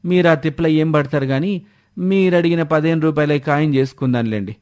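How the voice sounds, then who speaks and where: A man's voice: on the high side, brisk, a little mellow, natural voice. A man voicing a sly rustic village trader in a storybook tale, wheedling as he talks. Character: sly male village coconut trader, backpedalling. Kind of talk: storybook character dialogue.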